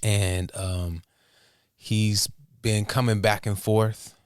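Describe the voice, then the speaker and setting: A man from the United States with an American accent, talking casually and haltingly as an interview guest. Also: on the low side, little pitch movement, smooth, no echo, clean studio sound. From Portland, Oregon, United States. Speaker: interview guest, music producer and inventor. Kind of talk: casual conversational storytelling.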